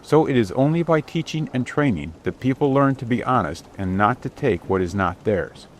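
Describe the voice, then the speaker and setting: A man's voice: medium pitch, expressive, moderate pace, a little hiss or hum. A man narrating an old adventure storybook in a scripted, dramatic reading style. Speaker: audiobook narrator. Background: outdoor ship ambience.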